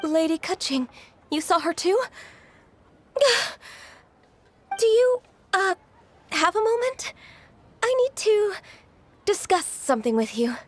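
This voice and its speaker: A woman playing a gentle, timid young secretary in a video game, polite, hesitant and easily flustered. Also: very high-pitched, big pitch swings, takes it slowly, pauses often, exaggerated voice. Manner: hesitant, apologetic, courteous. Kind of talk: video game cutscene acting.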